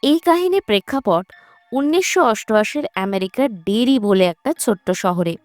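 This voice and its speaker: A woman's voice: fairly high pitch, big pitch swings, normal speed, no room sound. A woman narrates a scripted horror film recap in a storytelling style, as a voice-over. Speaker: narrator of a movie recap channel. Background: background music.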